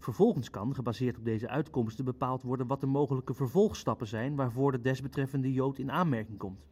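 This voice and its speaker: An actor playing a cautious, legalistic Nazi official in a naturalistic audio drama, speaking formally and precisely. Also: male voice, mid-range voice, brisk, a little mellow, husky, lightly acted. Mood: formal, measured. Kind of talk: Dutch audio drama acting, naturalistic meeting dialogue.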